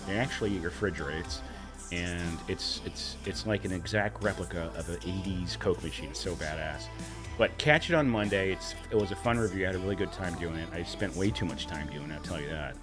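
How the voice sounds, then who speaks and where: A man hosting a casual livestream, slightly hoarse, rambling and warmly thanking viewers for tips. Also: a little fast, music in the background. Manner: rambling, thanks tippers, breaks into silly high voices. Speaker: livestream host.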